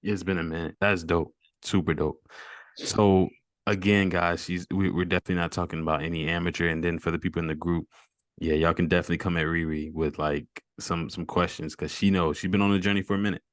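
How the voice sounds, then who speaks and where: A man's voice: low-pitched, little pitch movement, quick pace, no room sound, studio quality. A man hosting a casual fitness interview over a video call, upbeat and encouraging, with easy slang. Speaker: host.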